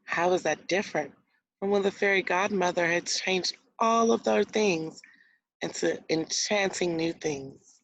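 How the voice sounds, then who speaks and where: A woman's voice: on the low side, measured pace, dry recording, dull audio. A woman teacher reading a storybook aloud to young children, explaining words and asking guiding questions as she goes. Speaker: elementary school teacher.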